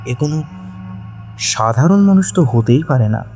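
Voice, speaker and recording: A man narrating a dramatic audio story, with measured, suspenseful phrasing. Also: medium pitch, animated, darkish tone, faint music behind.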